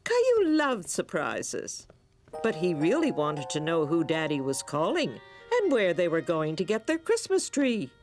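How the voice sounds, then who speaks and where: A woman playing the gentle storybook narrator of a children's cartoon, telling it expressively. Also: lowish voice, wildly swooping pitch, a little slow. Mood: gentle storytelling. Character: warm storybook narrator of a small boy's adventures. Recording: music behind the voice.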